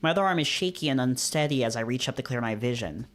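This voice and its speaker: A man's voice: mid-range voice, animated, fast talker, a bit bright. A man hosting a visual novel read-through, moving between narration and casual chat. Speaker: host of visual novel readings.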